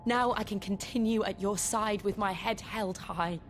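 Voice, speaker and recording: A woman acting a grave, devoted noblewoman warrior in a video game cutscene, speaking in a solemn, measured, formal way. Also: mid-range voice, fairly even intonation, a little hiss or hum, natural voice. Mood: solemn resolve. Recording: dramatic background music.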